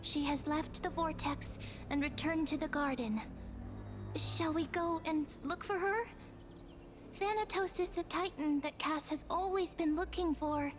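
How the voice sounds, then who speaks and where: A woman's voice: sits high, fairly even intonation, a little slow. A woman voicing a small, earnest, caring fairy-like creature in a video game, with a childlike, eager manner. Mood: concerned, helpful. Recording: game music under the voice.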